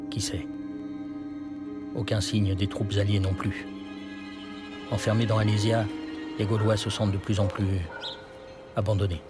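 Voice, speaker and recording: A man reading scripted historical documentary narration in a measured, dramatic way. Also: fairly low pitch. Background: loud music.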